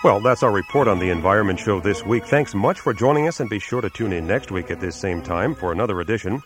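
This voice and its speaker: A man with a fairly low voice hosts a radio environment program. He reads his script in a measured, announcer-like way and poses careful questions to his guests. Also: lively intonation, over music. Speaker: radio show host and reporter. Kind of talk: scripted radio narration and interview.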